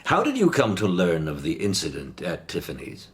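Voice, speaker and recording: A man's voice: sits low, wildly swooping pitch, normal speed. A man playing a worried, wealthy middle-aged father in a radio mystery, speaking formally and hesitantly. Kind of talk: period radio mystery drama acting.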